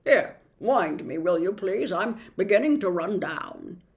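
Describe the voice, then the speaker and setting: Woman doing a low growling, dependable watchdog. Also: animated, a little slow. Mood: reassuring. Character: loyal, reassuring male watchdog, steady and practical.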